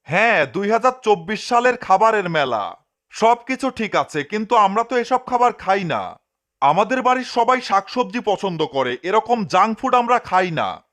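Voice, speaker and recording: Man playing a high, lively, fussy cartoon householder. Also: moderate pace. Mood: grumbling. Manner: animated, declaiming to himself. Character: fussy male cartoon householder, grumbling and self-satisfied. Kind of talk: fan-dubbed cartoon comedy.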